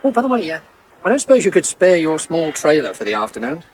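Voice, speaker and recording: An actor playing a wry, harried farmer with a British accent in a 1980s radio soap. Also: male voice, a little high, wildly swooping pitch, fast. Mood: rueful. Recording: faintly noisy old radio broadcast.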